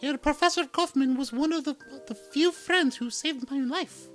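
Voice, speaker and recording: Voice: sits high, normal speed, a bit bright, faint music behind, voice pushed up. A reader voicing a young noblewoman princess who speaks formally and emotionally. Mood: sorrowful.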